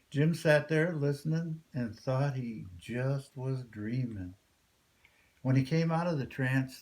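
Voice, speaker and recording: Older guy reading a folktale out loud, real slow storyteller, medium pitch. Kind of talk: folktale read aloud.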